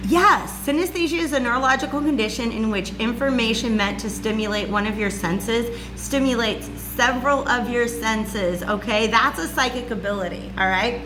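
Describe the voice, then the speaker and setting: A loud woman with a raspy voice gives a chatty spiritual lesson at home and often checks in with "okay?". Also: a little high, moderate pace, hardly any pauses, over music, low-quality recording. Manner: chatty, says "okay?" and "all right?" often. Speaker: spiritual channel host. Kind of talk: casual teaching monologue. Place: warm room at home with a fan running. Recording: home recording with clear hum.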